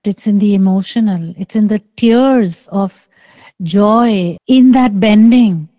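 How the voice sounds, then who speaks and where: Middle-aged woman, spiritual teacher; very slow, mellow, dry close video-call sound. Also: medium pitch, no room sound. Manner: slow, soft and deliberate, with long pauses. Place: small room indoors. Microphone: close microphone on a video call.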